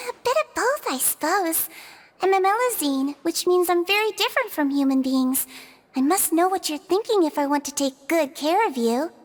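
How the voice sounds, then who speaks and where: A woman voicing a small, gentle nonhuman nurse in a video game, childlike but kindly and attentive like an older sister. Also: very high-pitched, expressive, normal speed, a little hiss or hum. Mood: warm, reflective. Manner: kindly, attentive, politely explaining.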